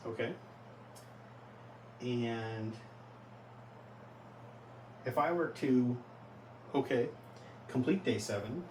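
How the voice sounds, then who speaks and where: A young man in his twenties speaks in a medium-pitched voice, caught in an echoey space some way from the microphone with clear background noise. He rambles casually and haltingly, thinking aloud and putting questions to himself as a vlogger would. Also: darkish tone. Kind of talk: casual self-reflective vlog talk. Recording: echoey, noisy, distant from mic.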